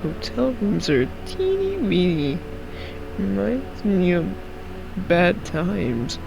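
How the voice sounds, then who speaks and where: A man's voice: sits high, very animated, slow pace, creaky, exaggerated voice, poor audio. A man voicing a nervous, childish, unsettling misfit from a game, with a jittery, giggling manner. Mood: nervous, creepy. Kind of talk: amateur game character voicing. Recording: music under the voice.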